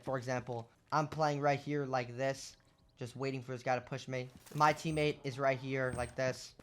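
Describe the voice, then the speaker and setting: Young man giving gaming tips, hoarse. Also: mid-range voice, fairly bright tone, slight background noise. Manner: explanatory, rhetorical questions, lots of "right?". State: losing his voice. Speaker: gaming content creator. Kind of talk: casual gameplay tips commentary.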